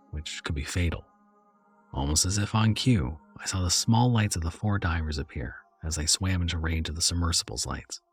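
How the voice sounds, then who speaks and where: A man narrating a first-person horror story in a measured, somber way. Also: rumbling low, husky, dry recording.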